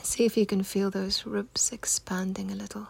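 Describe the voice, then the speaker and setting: Breathy, creaky woman guiding meditation. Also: mid-range voice, level tone. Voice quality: soft, breathy, husky, near-whispered. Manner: gentle, unhurried, soothing instruction. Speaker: meditation guide. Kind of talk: guided meditation.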